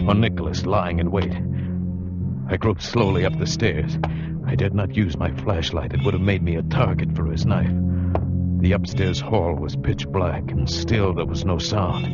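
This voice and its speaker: An actor playing an adventurer who narrates his own ordeal in a dramatic, halting, suspenseful radio style. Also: deep voice. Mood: tense, hushed. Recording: dull old radio broadcast recording.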